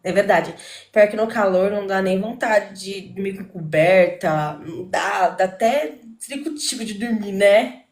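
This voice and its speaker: A woman from São Paulo hosting a live chat over a video call, affectionate and quick to laugh. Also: mid-range voice, reverberant. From São Paulo, Brazil. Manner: warm, giggly, affectionate. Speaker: host.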